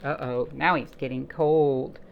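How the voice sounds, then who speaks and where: A woman's voice: rumbling low, steady tone, unhurried, gravelly, phone-quality sound, slight background noise. A woman leading a library storytime, chatting encouragingly to young children and asking them simple questions as she reads aloud.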